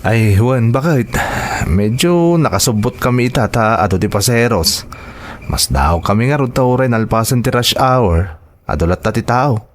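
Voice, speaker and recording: A man's voice: mid-range voice, lively intonation, normal speed, close to an everyday voice. Man acting an easygoing Filipino working husband. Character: hard-working husband and passenger driver, easygoing. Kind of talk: audio drama dialogue.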